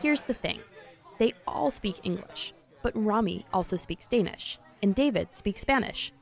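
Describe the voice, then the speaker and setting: A woman narrating a news feature in a measured, scripted delivery. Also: medium pitch, expressive, creaky.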